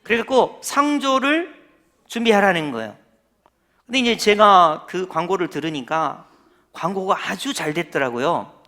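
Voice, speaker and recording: Bright-voiced conversational male pastor. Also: high-pitched, animated, measured pace. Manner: conversational preaching, asks the congregation questions. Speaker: senior pastor. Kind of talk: sermon.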